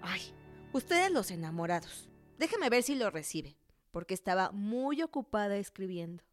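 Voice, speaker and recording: A woman's voice: mid-range voice, sing-song, moderate pace, lightly acted. An actress playing a pert, teasing household maid in a radio drama, with music behind her.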